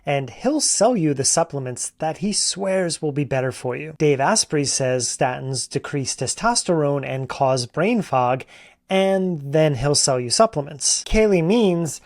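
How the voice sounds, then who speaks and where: A man's voice: a little high, lively intonation, a little slow. A man hosting a podcast, reading a blunt, sardonic introduction and then putting questions to his guest. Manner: blunt, sardonic about supplement sellers. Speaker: podcast host.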